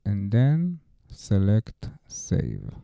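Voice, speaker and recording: A man gives a scripted how-to narration at a very slow pace. His voice sits on the low side and has a heavy creak. He moves plainly from one step to the next. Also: wildly swooping pitch, mellow, no echo, clean studio sound. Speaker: DIY tutorial narrator. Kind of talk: scripted instructional voice-over.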